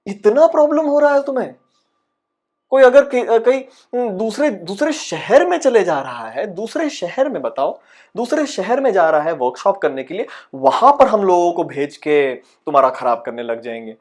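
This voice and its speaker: A man's voice: high voice, big pitch swings, normal speed. A man playing a frustrated, paranoid aspiring actor who rants insistently in a dramatic monologue. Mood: angry, exasperated. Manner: exasperated, ranting, insistent, with rhetorical questions. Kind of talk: dramatic comic audition monologue in Hindi-English mix.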